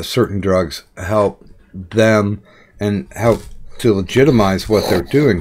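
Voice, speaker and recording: Middle-aged male host, low voice, slow and halting, full of fillers. Interviewer on a casual talk show. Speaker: podcast interviewer.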